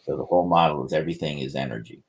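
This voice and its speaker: A man explaining his inventions on a casual livestream, rambling and halting with many restarts. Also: rumbling low, gravelly. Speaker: inventor presenting research.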